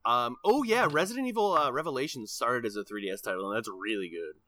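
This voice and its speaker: A man's voice: mid-range voice, lively intonation, quick pace, fairly bright tone, no echo, clean studio sound. A man co-hosting a gaming podcast, talking in a casual, conversational way. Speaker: podcast co-host.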